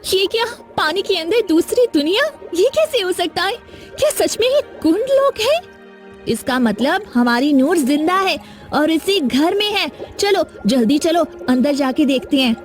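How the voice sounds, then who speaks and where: A woman's voice: extremely high pitch, very animated, measured pace, soft background music, full character voice. A woman voicing an anxious, determined young village woman in a cartoon, earnest and dramatic. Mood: amazed.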